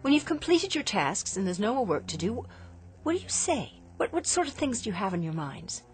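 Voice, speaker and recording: An actress playing an inventor's restless adult daughter in a radio drama, questioning insistently. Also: female voice, medium pitch, big pitch swings, normal speed, close to an everyday voice. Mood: probing. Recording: music under the dialogue.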